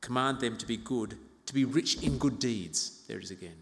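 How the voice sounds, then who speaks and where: A man in his fifties from Uganda preaching an expository sermon as a pastor, in a measured, reflective way with pauses and gentle asides. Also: mid-range voice, creaky. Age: 50s. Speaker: pastor preaching to own congregation. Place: large reverberant church interior. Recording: reverberant hall.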